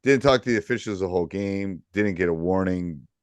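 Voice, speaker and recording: A man in his fifties from Wisconsin, a basketball coach hosting a podcast, telling stories in a loud, distinct, animated way. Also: sits low, a little fast, clean tone, no echo. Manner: animated, storytelling, emphatic. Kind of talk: casual podcast chat.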